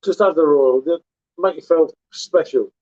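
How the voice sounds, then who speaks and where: A man's voice: a little high, normal speed, warm tone. A man hosting a casual livestream, bantering and joking while reading viewer messages. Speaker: beer reviewer livestream host. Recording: dull lo-fi livestream audio.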